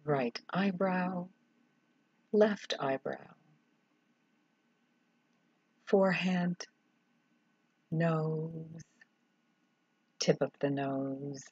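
Very slow, low-voiced woman guiding meditation. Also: husky. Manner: calm, soothing, short measured phrases with long pauses. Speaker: yoga relaxation instructor. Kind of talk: guided meditation. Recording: dull, roomy, echoing sound.

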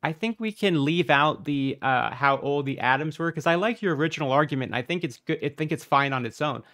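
A man on a voice call debate, explaining his view calmly through examples. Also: a little high, brisk. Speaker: debate caller. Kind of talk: casual debate. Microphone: voice call mic.

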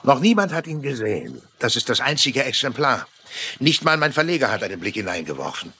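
An actor playing a vain, pompous novelist who flatters women in grand phrases, in a German-dubbed mystery drama. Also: male voice, mid-range voice, brisk, slightly rough, heavily acted. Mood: self-satisfied, charming. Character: pompous, vain male novelist, smooth-talking womanizer.

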